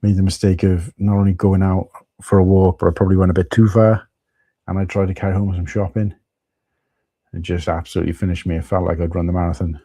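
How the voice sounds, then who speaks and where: Man, husky, very dark and mellow, low flat voice, dry close sound, rambling live stream chat. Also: fast talker, no echo. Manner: rambling, chatty, many fillers and restarts. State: husky from tiredness.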